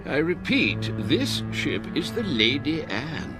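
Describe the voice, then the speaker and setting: Fussy, stammering old British gentleman. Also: fairly high pitch, wildly swooping pitch, takes it slowly, exaggerated voice. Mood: flustered, indignant. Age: older. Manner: stammering, blustering, hesitant. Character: fussy, flustered elderly British gentleman, indignant and doddering. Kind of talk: radio drama acting. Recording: music bed behind dialogue.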